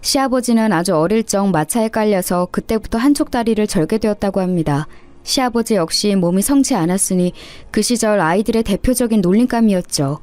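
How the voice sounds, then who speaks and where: A woman's voice: mid-range voice, expressive, fairly quick, mellow. A woman reading a scripted first-person story as a narrator.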